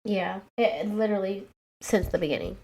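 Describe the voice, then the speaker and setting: A woman's voice: mid-range voice, brisk, gravelly, warm tone, rough recording. Congested woman podcaster, short replies. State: heavily congested. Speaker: podcast co-host. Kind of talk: casual podcast chat.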